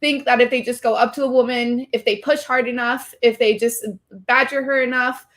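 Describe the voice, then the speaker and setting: A woman's voice: a little high, steady tone, moderate pace, fairly bright tone. A young woman from Puerto Rico, chatting as an interview guest and leaning on "like" as a filler. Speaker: guest, streamer. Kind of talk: casual interview chat.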